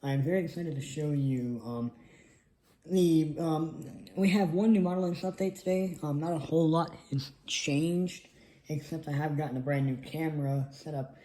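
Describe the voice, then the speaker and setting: Young guy, bit congested, narrates his model airport, warm dark voice, lots of um. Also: fairly high pitch, fairly even intonation, moderate pace, rough recording. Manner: rambling, lots of ums. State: congested from allergies. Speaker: model airport hobbyist host. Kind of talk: casual hobby video narration. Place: indoors at a model airport setup. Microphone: phone on a tripod.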